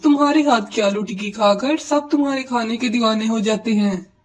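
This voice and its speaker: A woman's voice: on the high side, moderate pace, a little hiss or hum, natural voice. A woman voicing an earnest young male pigeon, a husband and son, in a Hindi cartoon. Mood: admiring.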